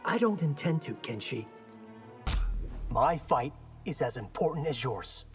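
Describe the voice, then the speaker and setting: A man's voice: medium pitch, lively intonation, measured pace, faint music behind, natural voice. An actor playing an earnest, determined young male ninja in a video game.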